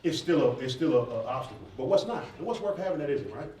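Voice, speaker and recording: A man's voice: mid-range voice, lively intonation, quick pace, a little mellow. A man in his thirties, a rapper speaking informally and emphatically to students, repeating phrases as he answers questions. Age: 30s. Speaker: rapper and stage performer answering audience questions. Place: roomy indoor campus event space. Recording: echoey room, distant mic, faint noise.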